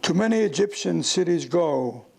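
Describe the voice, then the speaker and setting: Very gravelly man reciting poetry. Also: on the high side, lively intonation, moderate pace, darkish tone.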